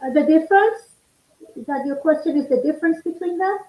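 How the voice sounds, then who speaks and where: High-voiced woman in her sixties, roomy. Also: a little mellow. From Iran. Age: 60s. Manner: measured, explanatory recounting. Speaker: author and guest. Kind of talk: interview answers.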